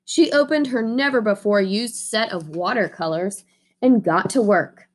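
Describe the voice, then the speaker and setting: A young adult woman reading a picture book aloud as host, with expressive, deliberate storytelling. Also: mid-range voice. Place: small indoor room.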